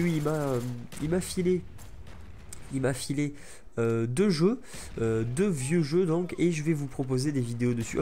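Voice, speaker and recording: A man's voice: mid-range voice, mellow. Young guy, head cold, rambling gameplay commentary with faint noise under it. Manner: rambling, chatty, many restarts and fillers. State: stuffy head cold, sniffling. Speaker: gaming YouTuber.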